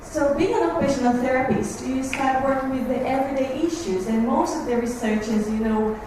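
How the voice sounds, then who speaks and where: A woman's voice: high-pitched, brisk. A woman from Brazil with a Brazilian Portuguese accent, giving an earnest talk to a carers' support group as a guest researcher. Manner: earnest, warm to the families, slightly nervous. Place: meeting room, recorded from far off. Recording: echoey room, far mic, noisy.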